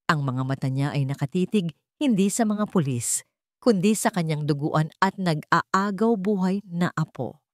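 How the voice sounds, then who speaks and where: Filipino lady reading a dramatic story, lowish voice, really dry close sound. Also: a little mellow, dry recording. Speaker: audiobook narrator. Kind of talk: dramatic scripted story narration.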